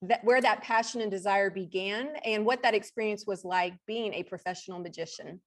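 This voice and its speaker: A woman's voice: medium pitch, brisk. A woman hosting an interview on her online channel, upbeat, with brief affirmations. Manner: upbeat, reading the guest bio, brief affirmations. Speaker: host.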